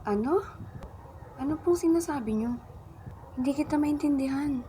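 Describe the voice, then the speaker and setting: A woman's voice: sits high, moderate pace, warm tone, a little hiss or hum, lightly acted. A woman playing a confused, fragile, submissive mother in a radio play. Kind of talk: amateur radio play acting.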